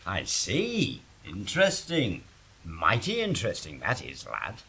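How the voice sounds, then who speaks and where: A man's voice: deep voice, wildly swooping pitch, a little slow, creaky, pushed and bright, full character voice. A man playing a sly, teasing enemy commander. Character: gruff, scheming leader of an invading army.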